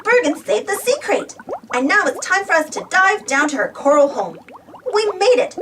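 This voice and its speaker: A woman reading a storybook aloud to children in an expressive, exclamatory way, putting questions to her young listeners. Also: very high voice, normal speed, noisy background. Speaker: storybook reader. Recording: distant from the mic.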